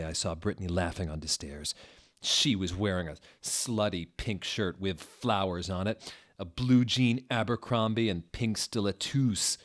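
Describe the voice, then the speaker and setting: A man narrating a story as a dramatic, comedic reading. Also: low voice.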